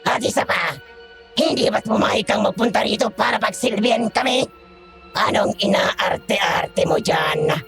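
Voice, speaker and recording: A woman's voice: mid-range voice, creaky. An actress playing a haughty, sadistic female aswang in a horror audio drama, imperious and scornful. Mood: scornful threat. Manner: imperious, scornful, drawn-out menace. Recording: music under the voice.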